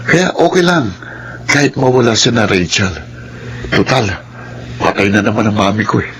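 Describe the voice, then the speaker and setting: Domineering, scheming rich son, noisy recording. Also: male voice, lowish voice, lively intonation, moderate pace. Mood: cold, bitter. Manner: domineering, threatening. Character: scheming, hot-tempered rich son plotting against his father. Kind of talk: Filipino radio-style melodrama acting.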